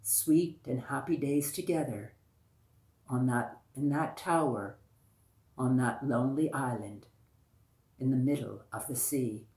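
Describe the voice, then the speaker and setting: An echoey room carries a woman's oral storytelling. She tells a folktale very slowly and deliberately in a low voice, circling back over phrases for emphasis. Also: darkish tone. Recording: echoey, roomy sound.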